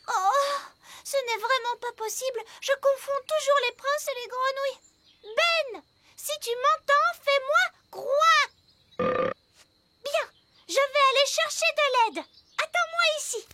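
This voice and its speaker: An actor voicing a young fairy princess girl in a French cartoon dub, childlike, earnest and expressive. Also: extremely high pitch, slow pace, very noisy. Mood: worried.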